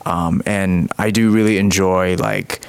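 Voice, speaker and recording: A man's voice: low-pitched, steady tone, measured pace, smooth. A young man from Thailand, a student guest on a podcast, chatting in an upbeat, easygoing way and laughing often. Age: young adult. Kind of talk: casual podcast conversation.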